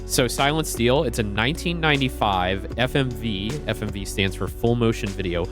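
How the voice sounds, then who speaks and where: A man's voice: mid-range voice, moderate pace, over music. A man streaming a retro game, chatting casually and greeting viewers by name.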